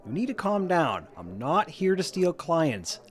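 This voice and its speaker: An actor playing a peaceable, defensive husband with a placating manner in a comedy audio drama. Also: male voice, on the high side, big pitch swings, measured pace.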